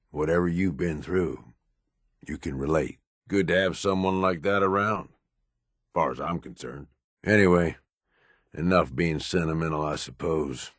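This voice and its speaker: A man playing a hard-bitten, cynical American raider lieutenant in a video game, with a gruff, blunt, slangy way of talking. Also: extremely low pitch, no echo, studio quality, full character voice. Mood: cynical, confiding. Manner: gruff, plain-spoken, drawling slangy delivery. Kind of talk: video game companion dialogue.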